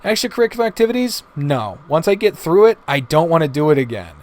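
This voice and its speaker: A man delivering a casual, blunt, exasperated rant full of swearing, as a reviewer giving his opinions. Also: a little high. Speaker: reviewer of visual novels.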